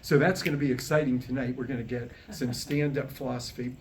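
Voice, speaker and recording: A man's voice: medium pitch, fairly even intonation, a little fast, slight background noise. An older man giving an informal, folksy and humorous introduction on stage, chatting with a live audience. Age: 60s or older. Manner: folksy, joking, talks with the audience. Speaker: master of ceremonies introducing a show. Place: on stage at a podium before a small live audience.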